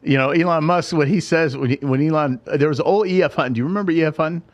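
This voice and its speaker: A man's voice: on the high side, fast, lo-fi sound. A middle-aged man, a guest on a podcast, chatting casually and telling stories, often checking in with "right?". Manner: storytelling, frequent "right?" asides. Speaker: podcast guest, tech recruiter and dating coach. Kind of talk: casual interview chat.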